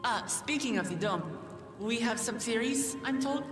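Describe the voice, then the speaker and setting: An actress playing an earnest young female ruler in a video game cutscene, speaking in a measured, formal and resolute way. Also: fairly high pitch, expressive, close to an everyday voice. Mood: composed, formal. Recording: orchestral music behind the voice.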